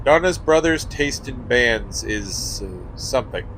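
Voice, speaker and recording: Exasperated, sarcastic guy acted by a man, animated pitch. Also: measured pace, slight background noise, poor audio. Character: exasperated, cautious, sarcastic male friend in the passenger seat. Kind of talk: radio play acting.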